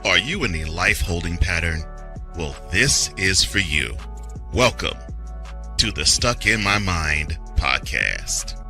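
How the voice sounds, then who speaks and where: Deep guy reading a podcast promo, super forceful and lively, bit of music. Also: takes it slowly, vocal fry. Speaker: promo announcer. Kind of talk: scripted podcast promo voice-over.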